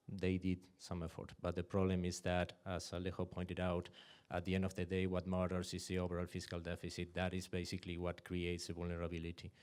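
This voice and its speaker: A man's voice: low voice, darkish tone, clear, resonant tone. A man on a panel giving a short, matter-of-fact answer. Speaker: panelist, economist.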